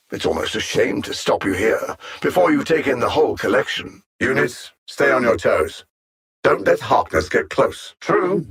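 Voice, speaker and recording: A cold, haughty alien machine intellect from a video game. The voice is mid-pitched for a male, heavy with gravelly creak and a husky rasp. Each formal, exact phrase swings widely in pitch, full of theatrical contempt for lesser beings. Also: a bit bright, full character voice. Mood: haughty taunting. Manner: condescending, theatrical, precise and formal diction. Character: cold, arrogant alien machine-mind villain bent on conquest. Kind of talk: scripted video game villain voice acting.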